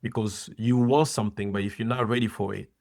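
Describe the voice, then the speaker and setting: Low gravelly man giving earnest advice. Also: steady tone, a little fast, a little mellow. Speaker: podcast guest, former soccer player and coach. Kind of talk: interview conversation.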